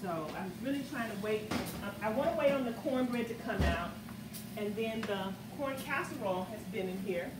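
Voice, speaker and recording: A woman's voice: a little high, expressive, moderate pace. A middle-aged woman hosting a home cooking show, chatting casually and often checking in with viewers with "guys" and "okay?". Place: large home kitchen, indoors. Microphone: camera mic across the kitchen. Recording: roomy, distant, noisy kitchen sound.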